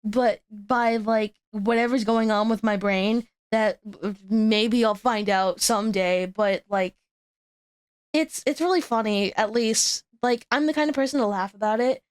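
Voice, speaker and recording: High-voiced stuttering young woman streamer. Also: fairly even intonation, measured pace, clear, resonant tone, no room sound. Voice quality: stutters, with frequent repeats and restarts. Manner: rambling, laughs at herself. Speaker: VTuber streamer hosting a guest. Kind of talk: casual chat.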